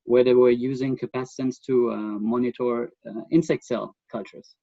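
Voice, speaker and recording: A man's voice: mid-range voice, steady tone, creaky, mellow, no room sound. German-accented man, muffled call audio. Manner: thoughtful, halting, with many fillers and restarts. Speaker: guest expert. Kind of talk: remote interview.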